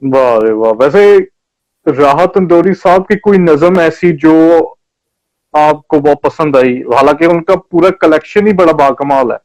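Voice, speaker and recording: A man's voice: fairly high pitch, lively intonation, a little slow, a little mellow, no echo, low-quality recording. A man from India hosting a casual live interview in an appreciative, exclaiming manner. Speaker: interview host.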